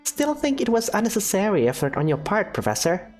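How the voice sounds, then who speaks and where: A woman's voice: medium pitch, big pitch swings, brisk, darkish tone, soft background music, voice pushed up, lightly acted. A woman voicing a prim, studious young female student who speaks formally and precisely.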